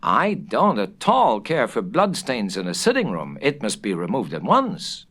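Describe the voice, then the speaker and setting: A man's voice: medium pitch, huge pitch swings, measured pace, a bit bright, breathy edge, full character voice. A man voicing a fussy, imperious American wife and mother in a storybook, exclaiming and decisive. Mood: fussy, disapproving.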